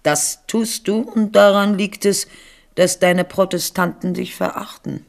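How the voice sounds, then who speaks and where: A woman's voice: medium pitch, steady tone, measured pace, gravelly. Scheming old queen mother, cynical and condescending, radio drama. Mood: sly, condescending. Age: older. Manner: condescending, calculating. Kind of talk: 1970s German radio play acting.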